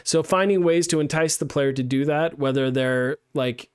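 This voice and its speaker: Guy teaching level design on a stream, smooth clear voice, dry close mic, bit hoarse. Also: a little high, normal speed, dry recording. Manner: thinks aloud while playing, many fillers and restarts. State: losing his voice. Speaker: game design instructor. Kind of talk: live-streamed playtest feedback.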